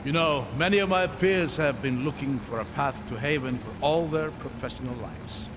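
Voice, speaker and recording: A man playing a gentle, bookish scholar in a video game, articulate, curious and earnest. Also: mid-range voice, normal speed, noisy background, close to an everyday voice. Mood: curious, enthusiastic. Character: gentle, bookish male scholar, eager and grieving father. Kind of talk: video game cutscene acting. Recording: long cavernous reverb, music behind.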